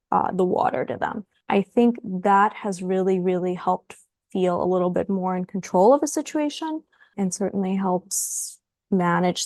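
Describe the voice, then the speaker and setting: A woman's voice: mid-range voice, darkish tone, no room sound, studio quality. A woman from Ukraine, a guest psychologist in a podcast interview, answering thoughtfully and often repeating words as she starts her sentences.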